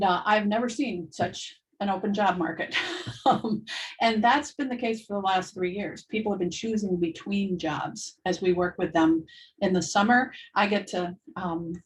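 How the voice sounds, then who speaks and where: A woman's voice: medium pitch, rough recording. Middle-aged woman, professor, informal presentation, chatty, laughs easily, frequent ums. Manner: animated and chatty, laughs often, many ums. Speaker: education professor presenting a program. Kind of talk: informal presentation to prospective students.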